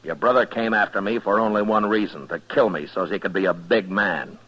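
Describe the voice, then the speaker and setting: A very deep, clean-toned American lawman of the frontier, laconic and firm. It is old-time western radio acting, caught on a dull vintage recording. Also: fairly quick, sharp tone, slightly rough. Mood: stern. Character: steady, plain-spoken US marshal of a frontier town.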